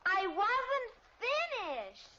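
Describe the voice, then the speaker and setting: A woman's voice: very high-pitched, very animated, drawn-out words, pushed and bright, exaggerated voice. An actress playing a chatty, insistent little girl who rambles through her stories in a radio sitcom. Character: chatty little girl, youngest daughter, undeterred. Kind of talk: 1950s radio sitcom acting. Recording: dull old radio recording, faint noise.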